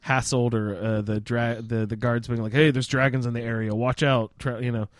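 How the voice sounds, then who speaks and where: Man, podcast moderator, mid-range pitch, dry sound, casual, question-led. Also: level tone, moderate pace, no echo. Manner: steers the group with questions. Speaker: podcast host moderating a roundtable. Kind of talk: casual group chat about a video game.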